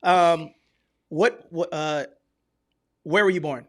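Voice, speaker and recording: A man's voice: a little high, slow pace. A man hosting a podcast, interviewing in an informal, jovial, bantering way. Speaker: podcast host.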